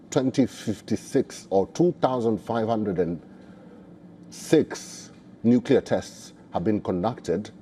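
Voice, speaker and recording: A man presenting scripted news narration in a formal, measured delivery. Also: fairly low pitch, mellow, slight background noise.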